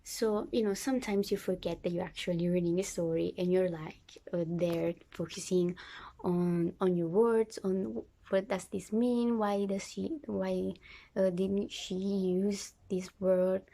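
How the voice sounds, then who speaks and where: Young woman, Italian native speaker, mellow soft tone, casual book vlog chat, lots of "you know" fillers. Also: on the low side, gravelly. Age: young adult. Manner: chatty, many fillers and restarts, occasional laughs. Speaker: book vlogger. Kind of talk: casual reading vlog talk.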